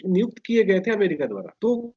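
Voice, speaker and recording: A man with a high-pitched voice talks as a guest, explaining history in a conversational way. He is halting and often repeats a phrase before going on. The recording is muffled and lo-fi. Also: animated, dark tone, slightly rough. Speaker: interview guest.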